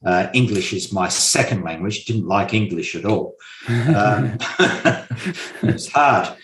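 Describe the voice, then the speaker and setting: Slow, deliberate man in an echoey room. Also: on the low side, lively intonation, fairly bright tone. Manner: slow, deliberate, repeats key points with hesitant fillers. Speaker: guest expert on memory and mind maps. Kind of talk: explanatory interview talk. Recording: roomy echo.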